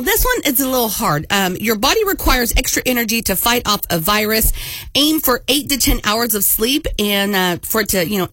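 A woman radio DJ with a scratchy, hoarse voice from a head cold, chatting casually and laughing often. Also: fairly high pitch, a bit bright, no echo. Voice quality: scratchy, raspy. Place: radio studio. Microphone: studio mic.

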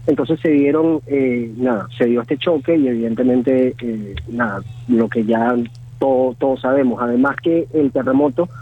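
A man's voice: medium pitch, fairly even intonation, husky. Venezuelan man on a tinny phone line. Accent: Venezuelan Spanish. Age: 30s. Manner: halting, many fillers and restarts. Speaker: phone-in guest. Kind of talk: phone interview.

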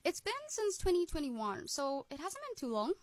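Radio guest: bright young woman with an American-sounding accent, cheerful and chatty, slightly high, dry close mic. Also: very animated, no echo. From South Africa, Durban. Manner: cheerful, laughs often, quick asides. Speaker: guest musician. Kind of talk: radio interview.

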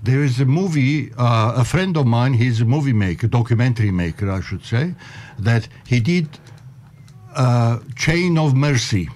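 An older man from Egypt, speaking haltingly with many pauses. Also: medium pitch, a little mellow, a little hiss or hum. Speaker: interview guest.

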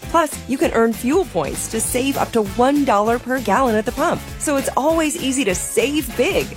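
A woman voicing a grocery store radio commercial in a smooth, friendly read. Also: fairly high pitch, animated, moderate pace, faint music behind. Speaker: grocery ad voice-over.

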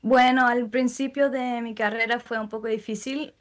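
Young woman, gentle interview guest, unhurried and reflective. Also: on the high side, steady tone. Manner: soft-spoken, thoughtful, with frequent pauses and fillers. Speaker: musician guest. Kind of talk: relaxed interview answers.

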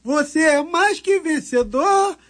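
A man on a talk show speaking slowly and emotionally, close to tears. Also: very high-pitched, animated, fairly bright tone.

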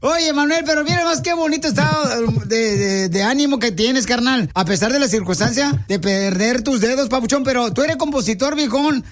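High-voiced joking radio host. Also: male voice, lively intonation, normal speed, hardly any pauses. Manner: playful, slangy, teasing.

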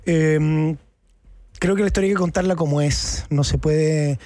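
A man's voice: fairly high pitch, fairly even intonation, unhurried, dark tone, dry recording. A middle-aged Chilean man with a Chilean accent, talking frankly and with a lot of slang as a guest in a casual studio interview. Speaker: actor and guest.

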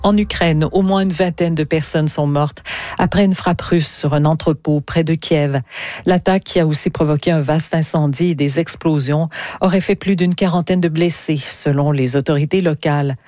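A woman's voice: low-pitched, steady tone, normal speed, dark tone, no room sound, clean studio sound. A woman reading scripted news as a radio anchor.

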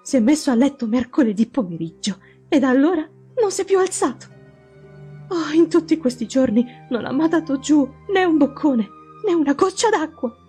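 A woman's voice: high voice, lively intonation, moderate pace, warm tone, faint music behind, voice pushed up. A woman voicing an anxious, deferential housekeeper in an audiobook, urgent and distressed. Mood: worried. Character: anxious, deferential female housekeeper pleading for help.

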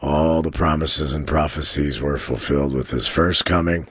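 Male radio host, very deep, husky, dry studio sound, jokey. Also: fairly even intonation, moderate pace, a bit bright, no room sound. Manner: jokey, chuckles at his own dad jokes. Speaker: radio talk show host. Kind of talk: casual radio banter and sermon-like talk.

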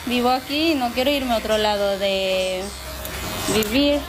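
A woman's voice: fairly high pitch, measured pace. A Peruvian woman speaking cheerfully in a street interview. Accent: Peruvian Spanish. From Peru.

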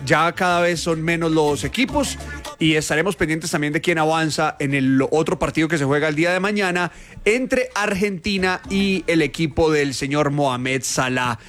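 A man hosting a morning show, teasing his co-hosts with quick questions in casual banter. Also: a little high, fairly bright tone, faint music behind.

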